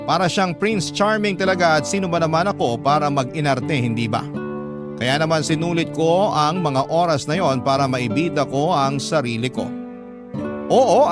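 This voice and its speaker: A man reading a scripted first-person love story as narrator, in a confessional storytelling way. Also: a little high. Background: guitar music.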